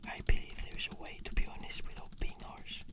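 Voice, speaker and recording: A breathy whisper, almost entirely unvoiced, in an ASMR style. The person plays a client giving measured, fluent scripted answers. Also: a bit bright, dry recording. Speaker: client answering questionnaire in a roleplay.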